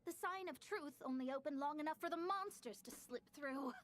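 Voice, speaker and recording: A woman's voice: sits high, fairly quick, fairly bright tone. A woman playing a proud, testy young astrologer mage in a video game, haughty and theatrical in her formal way of talking. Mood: annoyed.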